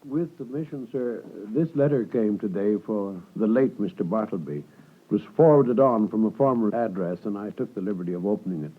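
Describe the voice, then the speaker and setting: An actor playing a deferential, fussy law clerk in a 1950s radio drama, formal, hesitant and obsequious. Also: male voice, fairly low pitch, moderate pace, husky. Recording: tinny vintage 1950s radio broadcast.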